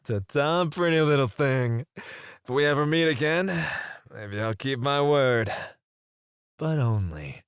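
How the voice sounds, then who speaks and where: A man voicing a teasing, menacing male captor who interrogates a prisoner in an ASMR roleplay, in a slow, intimate voice with soft chuckles and whispers on a close mic. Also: mid-range voice, lively intonation, smooth, dry recording.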